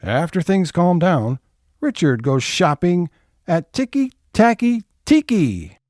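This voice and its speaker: A man's voice: high voice, very animated, takes it slowly, darkish tone, no room sound, studio quality, full character voice. A man narrating scene changes in a scripted comic audio drama.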